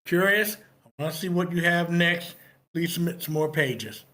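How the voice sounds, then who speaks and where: A man giving appreciative, informal feedback on a script in an online meeting, in measured phrases. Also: a little high, bright, forceful tone. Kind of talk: informal spoken critique.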